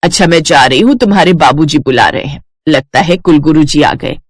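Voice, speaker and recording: A woman playing a kind older mother-in-law who speaks gently and gives household instructions. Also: low voice, brisk, no room sound, clean studio sound. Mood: instructing.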